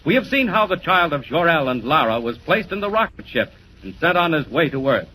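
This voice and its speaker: A man's voice: a little high, sing-song, brisk, sharp tone, rough recording. A man announcing and narrating a 1940s radio drama in a grand, dramatic delivery. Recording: hissy 1940s radio recording.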